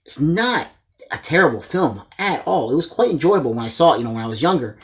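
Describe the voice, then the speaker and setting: A man's voice: a little high, very animated, quick pace, fairly bright tone. An enthusiastic man in his forties hosting a collecting vlog, chatting casually to his viewers and often addressing them as guys. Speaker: host of a movie collecting channel. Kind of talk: casual chat showing a haul to camera. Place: small indoor room lined with disc shelves.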